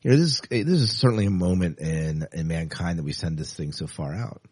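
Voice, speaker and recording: A man's voice: low voice, brisk, a little mellow, breathy edge, no echo. A man hosting a podcast, chatting casually about news items and steering the conversation. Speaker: podcast host.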